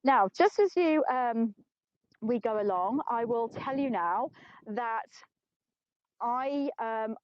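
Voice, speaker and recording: A woman's voice: fairly high pitch, animated, slow, long pauses, dry recording. Chatty English woman guide, dull phone sound. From England. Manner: chatty, self-mocking, laughs often. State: tired. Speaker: tour guide. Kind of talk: live-streamed walking tour. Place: outdoors on an open plateau.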